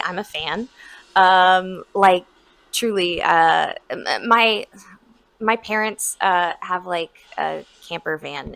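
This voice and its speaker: A young woman with a high, nasal voice answers questions at a convention. She talks in a loose, chatty way, full of ums and likes. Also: vocal fry, a bit bright, rough recording. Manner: chatty, many fillers. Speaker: voice actor guest. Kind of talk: casual convention interview.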